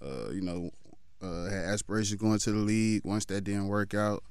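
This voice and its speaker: Man, 30s, low even voice, very clear, dry close mic, laid-back interview guest. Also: moderate pace, no room sound. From Fort Lauderdale, Florida. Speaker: guest, football trainer. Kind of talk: casual interview talk.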